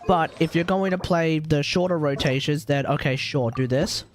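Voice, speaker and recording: A man's voice: a little high, normal speed. A man livestreaming a video game, talking casually and thinking aloud in an informal way. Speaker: game streamer. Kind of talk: casual live gameplay commentary. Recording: game music behind the voice.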